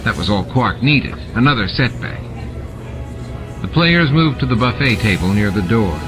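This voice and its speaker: Low-voiced male audiobook narrator over music. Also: lively intonation, normal speed. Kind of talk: scripted dramatic novel narration. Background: music and sound effects added to the reading.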